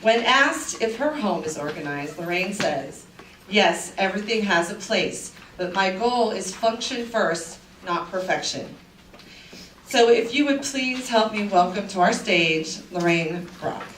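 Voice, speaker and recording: Woman, formal introduction, distant mic, clear background noise. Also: medium pitch, expressive, a little slow. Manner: reads a formal introduction, some fillers. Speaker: parent committee representative introducing the speaker. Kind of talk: event introduction. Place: school event room. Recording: echoey room from far off, noisy.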